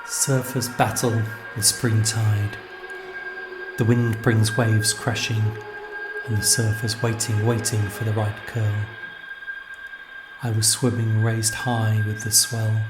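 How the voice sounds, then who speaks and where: A man reading scripted literary narration as a storyteller, in measured, deliberate phrases with pauses. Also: on the low side. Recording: music under the voice. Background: music.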